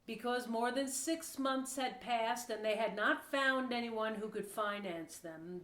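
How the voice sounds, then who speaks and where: A woman giving scripted historical narration as the narrator of an audio play. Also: medium pitch. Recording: roomy, echoing sound.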